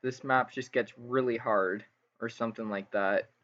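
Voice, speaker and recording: A man's voice: medium pitch, steady tone, normal speed, a bit bright. Casual male interviewer, muffled lo-fi sound. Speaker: interviewer, gaming channel host. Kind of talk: casual gaming interview chat. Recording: dull lo-fi voice chat.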